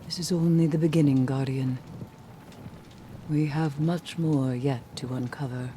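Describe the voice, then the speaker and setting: A woman playing a solemn, mysterious mentor in a video game, speaking in an earnest, measured way. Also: low-pitched, fairly even intonation, noisy background. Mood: solemn, urging. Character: solemn, mysterious mentor urging use of darkness. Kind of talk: dramatic video game voice acting.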